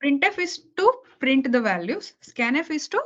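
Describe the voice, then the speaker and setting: A woman's voice: high voice, big pitch swings, measured pace, fairly bright tone. A woman teaching a beginner programming lesson over a video call, patient and repetitive, checking understanding after almost every sentence. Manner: patient, repeats key points, checks understanding with okay and right. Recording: dull lo-fi call audio.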